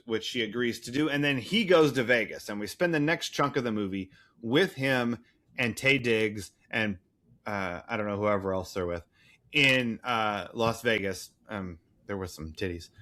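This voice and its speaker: A man's voice: on the low side, moderate pace, a bit bright. A man co-hosting a podcast, casually recapping a movie in a rambling, irreverent, profane way with wry, flippant asides. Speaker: podcast co-host.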